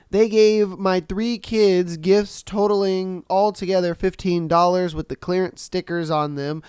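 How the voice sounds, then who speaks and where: A fairly high, smooth male voice, a little nasal and congested from a cold as he reads listener stories aloud. He reacts in loose, slangy, animated bursts, and the dry close-mic sound keeps him right up front. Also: no room sound. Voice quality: slightly nasal. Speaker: podcast host. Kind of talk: casual commentary reading listener posts.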